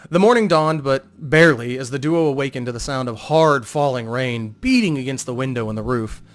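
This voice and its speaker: A man's voice: medium pitch, brisk. A man narrating a fantasy tale as a dramatic scripted audiobook reading.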